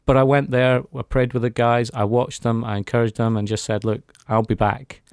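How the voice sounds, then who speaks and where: A man's voice: mid-range voice, little pitch movement, moderate pace, a little mellow, clean tone, no echo, studio quality. A man speaking as a guest in a radio interview, giving an earnest, reflective personal testimony. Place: radio studio.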